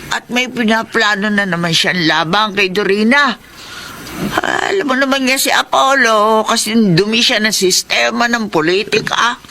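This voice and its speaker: A woman's voice: fairly high pitch, moderate pace, bright, forceful tone, a little hiss or hum. A woman with a Filipino accent playing a worried, protective mother in a radio drama. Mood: wary, concerned. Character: worried, protective mother wary of political schemes.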